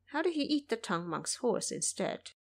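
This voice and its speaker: A woman's voice: fairly high pitch, big pitch swings, normal speed. A woman voicing a dignified female Bodhisattva in an audio drama, stately and authoritative. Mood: stern, resolved. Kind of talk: audio drama character acting.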